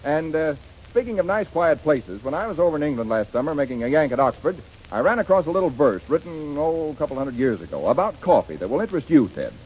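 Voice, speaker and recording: A man hosting a 1930s radio variety show, relaxed and chatty, reciting a verse. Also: a little high, quick pace, a little hiss or hum. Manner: relaxed, chatty, chuckling delivery. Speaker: host. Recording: dull 1930s radio broadcast recording.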